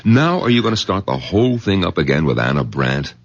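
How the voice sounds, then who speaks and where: An actor playing a skeptical, exasperated doctor in a radio drama, with a gravelly, husky voice and a wry manner. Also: male voice, low voice, sing-song, brisk, no room sound, heavily acted. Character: skeptical, exasperated male doctor, the old man's son-in-law. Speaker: narrator and doctor character. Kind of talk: 1970s radio drama acting and narration. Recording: muffled old radio broadcast.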